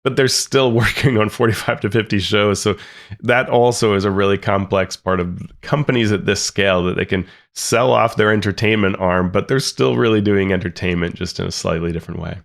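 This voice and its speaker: Man, podcast co-host, gravelly, lowish voice, casual chat. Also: a little fast. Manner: reads quotes aloud then comments, many restarts.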